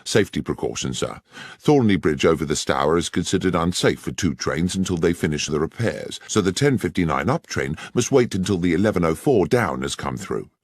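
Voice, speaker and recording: A man's voice: deep voice, expressive, fairly quick, husky, no room sound, studio quality, heavily acted. A man voicing a deferential rural station master. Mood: dutiful. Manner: plain, respectful, matter-of-fact. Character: middle-aged male railway station master, deferential.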